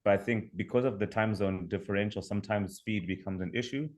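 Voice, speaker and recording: Zimbabwean man presenting, low voice. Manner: measured, explanatory, with fillers and restarts. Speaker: startup founder pitching. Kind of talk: online pitch presentation. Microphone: video call.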